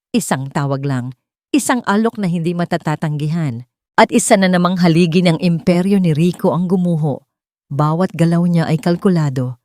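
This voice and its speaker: A woman reading scripted dramatic narration as a storyteller, in measured short phrases. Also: low-pitched, darkish tone, no echo, studio quality.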